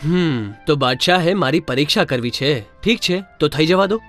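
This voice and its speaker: Birbal, clever confident courtier. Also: male voice, a little high, expressive, moderate pace, fairly bright tone, soft background music. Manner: confident, explaining tone.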